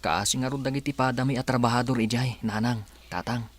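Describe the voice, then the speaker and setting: A man's voice: mid-range voice, fairly even intonation, quick pace, dry recording, lightly acted. A man acting an earnest young village labourer. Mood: earnest, dejected. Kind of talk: radio drama acting.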